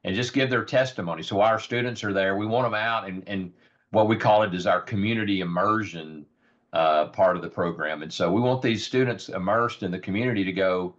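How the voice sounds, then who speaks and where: A man with a raspy, hoarse voice giving an earnest informal presentation on a rural health program, with many ums and repeated words. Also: lowish voice, brisk, a bit bright. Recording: dull lo-fi audio.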